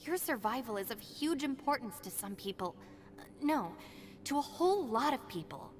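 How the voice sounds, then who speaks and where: A woman voicing a level-headed, dryly exasperated young gang deputy in a video game, speaking in a measured, matter-of-fact way. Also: high-pitched, animated, big-room sound, slight background noise. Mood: earnest resolve.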